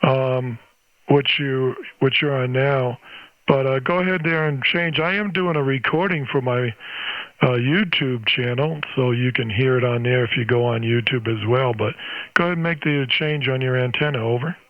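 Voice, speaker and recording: A man's voice: mid-range voice, normal speed, dry recording. A man with an American accent holds a casual ham radio exchange, conversational and sprinkled with operator jargon. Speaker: amateur radio operator, channel host. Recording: dull, band-limited radio audio.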